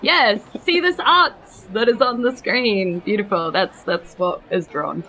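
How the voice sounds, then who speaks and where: A woman's voice: mid-range voice, unhurried, pushed and bright, low-quality recording. Casual New woman running a game. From New Zealand. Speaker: dungeon master.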